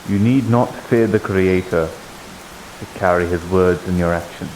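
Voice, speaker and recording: Man playing a low-voiced, devout holy warrior. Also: normal speed, a little mellow, a little hiss or hum, close to an everyday voice, poor audio. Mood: earnest, reflective. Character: earnest, devout holy warrior, plain-spoken and loyal. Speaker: roleplayer. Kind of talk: improvised in-character fantasy roleplay. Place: live online VR roleplay stream.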